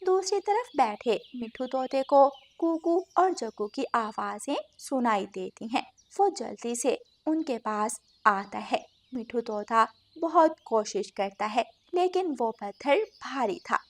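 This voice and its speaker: Female narrator for scripted kids' stories, high register. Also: animated, takes it slowly, few pauses. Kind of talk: scripted children's story narration.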